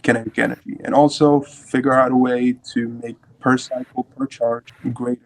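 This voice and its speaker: A man's voice: medium pitch, level tone, moderate pace, rough recording. A young man from Fiji, a student explaining his team's invention in an interview, earnest and explanatory. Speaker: student team member. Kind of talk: interview answers.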